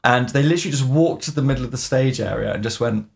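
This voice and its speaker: A man with a rough, gravelly tone co-hosts a casual podcast. He talks in a hesitant way, often stopping on "um" to gather his thoughts. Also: mid-range voice. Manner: hesitant, filling pauses with "um". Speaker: podcast co-host. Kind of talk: casual chat about video games.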